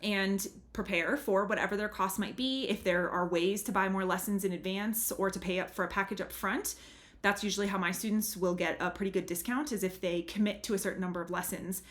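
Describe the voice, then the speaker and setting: An earnest language tutor from the United States talks casually to her viewers. Her voice sits at an everyday middle pitch, and her explanations are friendly and a little rambling. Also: steady tone, a little fast, fairly bright tone. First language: English. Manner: chatty and earnest, leans on "really" and "super". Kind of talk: casual advice talk to camera.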